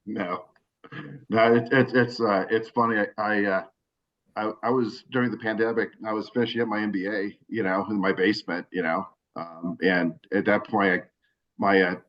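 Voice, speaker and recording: A man's voice: fairly low pitch, fairly even intonation, normal speed, lo-fi sound. A man talking casually in an interview over a video call, rambling with many restarts and fillers. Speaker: cigar brand owner.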